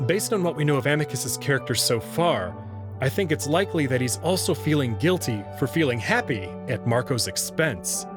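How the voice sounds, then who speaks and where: A man giving scripted narration and commentary as a host, with wry, playful asides. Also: medium pitch. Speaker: host reading a visual novel and commenting on it.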